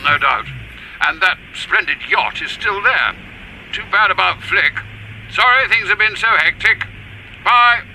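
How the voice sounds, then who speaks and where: A man's voice: high voice, animated, unhurried, pushed and bright, slight background noise, exaggerated voice, poor audio. A man playing a hesitant, discreet British spy chief posing as an uncle in a radio drama. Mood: guarded.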